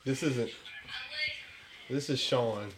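A man's voice: medium pitch, takes it slowly, background noise. A young man in casual chat, teasing his friends and laughing through his words. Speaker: friend showing a video.

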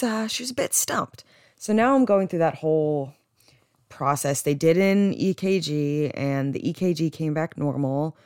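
A young American woman with a low voice chats like a podcast host, in a candid, rambling way. She is from New Jersey and leans often on "like". The sound is dry and free of room echo. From New Jersey, United States. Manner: chatty, rambling, candid, frequent "like". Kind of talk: casual solo podcast chat.

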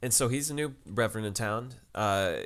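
Young male co-host, lowish voice, relaxed dry humor, quick laughs. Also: clean tone. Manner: laid-back, laughs often, dry remarks. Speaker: podcast co-host. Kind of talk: casual TV recap chat.

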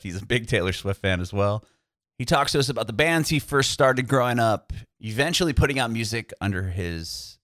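A man's voice: fairly low pitch, fairly bright tone, no room sound, studio quality. A man hosting a podcast interview over a video call, upbeat and laid-back. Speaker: podcast host.

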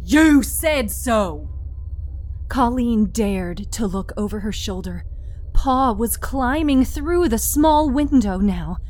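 A woman narrating dramatic horror fiction with deliberate, suspenseful phrasing. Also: a little high, animated, a little mellow.

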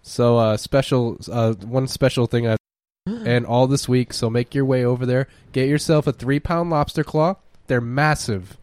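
A man co-hosting a podcast in casual joking chat, lively and playful. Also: mid-range voice, normal speed, no room sound. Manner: lively, jokey, drifts into silly voices. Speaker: podcast co-host.

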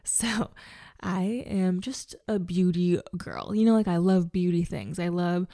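A woman's voice: on the low side, measured pace, warm tone, no room sound, studio quality. A woman of twenty-five chatting casually and enthusiastically about things, with a girly, chatty manner, as a beauty content creator. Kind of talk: casual chat.

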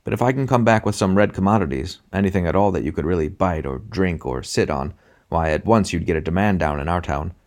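Storybook schemer played by male reader: shrewd, confiding small-time businessman, deep voice. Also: brisk, a little mellow, natural voice. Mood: scheming, eager. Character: shrewd, scheming, furtive intelligent male passenger.

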